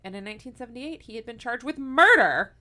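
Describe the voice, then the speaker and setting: A woman's voice: on the high side, animated, quick pace, bright, forceful tone. A woman in her thirties telling a true-crime story to her co-host in casual podcast chat, laughing often and wandering into asides. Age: 30s.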